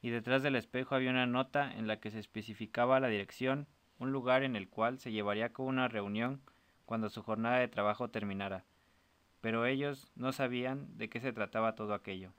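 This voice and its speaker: A man's voice: mid-range voice, fairly even intonation, brisk, a bit bright, smooth, dry recording. A man narrating a scripted short story as a storyteller.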